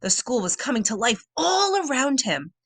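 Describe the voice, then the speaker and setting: A woman reading a children's book aloud as a storyteller, with expressive, dramatic and suspenseful delivery. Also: sits high, moderate pace, slightly rough, no echo, studio quality.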